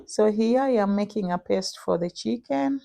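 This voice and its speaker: A young woman with a Kenyan accent talking through her cooking in a casual vlog, pausing often with "uh". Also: medium pitch, vocal fry, mellow, dry recording. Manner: unhurried, many "uh" pauses while cooking. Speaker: vlogger.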